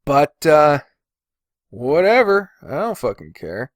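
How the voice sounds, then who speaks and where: Male podcast host, slightly high voice, dry close-miked sound, casual and opinionated, swears freely. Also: huge pitch swings, slow pace, no echo. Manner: blunt, frequent swearing.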